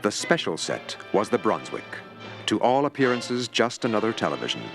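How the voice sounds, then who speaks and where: A man narrating a mock documentary in a measured, formal, newsreel-like way. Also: fairly low pitch, big pitch swings, music in the background. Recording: narration over a clear film score.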